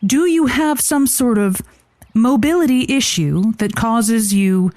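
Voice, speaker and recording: A woman's voice: a little high, slow pace, darkish tone, dry recording. A woman playing an unflappable but easily flustered radio advice host, with a dry, hesitant delivery on a call-in comedy drama.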